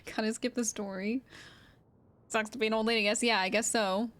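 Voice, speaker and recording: A woman's voice: a little high, steady tone, fairly quick, fairly bright tone. A woman chatting casually and jokingly with viewers as she streams a game. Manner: informal, joking. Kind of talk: casual livestream chat with viewers.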